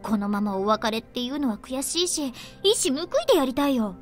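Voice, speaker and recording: An actress playing a playful, mischievous young woman in a Japanese visual novel, with a teasing, animated manner. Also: sits high, rapid-fire, soft background music.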